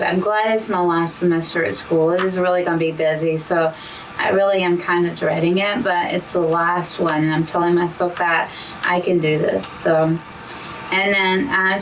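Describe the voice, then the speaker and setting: Hoarse woman chatting a bit off-mic. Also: lowish voice, far from the mic, slight background noise, low-quality recording. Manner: rambling, with frequent restarts and "you know". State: hoarse, losing her voice from talking too much. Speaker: co-host of a diamond painting channel. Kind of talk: casual chat.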